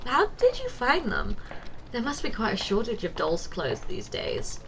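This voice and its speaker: A woman playing a giant, doting, teasing office secretary in an ASMR roleplay, speaking softly and coaxingly straight to the listener. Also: on the high side, huge pitch swings, a little slow, slight background noise, full character voice. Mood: helpful, attentive. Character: giant, doting, teasing female office secretary, tender toward tiny boss.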